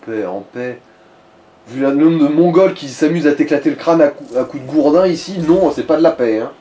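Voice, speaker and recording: Echoey mic w faint noise, dude, soft mellow tone, dry slangy gaming chat. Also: male voice, a little high, animated, brisk. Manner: wry, slangy, swears. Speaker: let's player and co-commentator. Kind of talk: casual gameplay commentary.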